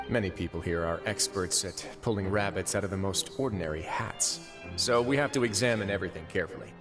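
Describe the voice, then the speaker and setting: Low-voiced, composed, wry duke. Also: male voice. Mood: businesslike. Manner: measured, courteous, sly. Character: composed, wry male duke running an underworld fortress. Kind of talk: anime video game voice acting. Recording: game audio with clear music behind.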